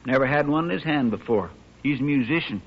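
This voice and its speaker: An actor with an American country drawl playing a folksy, deferential marshal's helper in a 1950s radio western, on a muffled old recording. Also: male voice, mid-range voice, expressive, quick pace. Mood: eager, respectful.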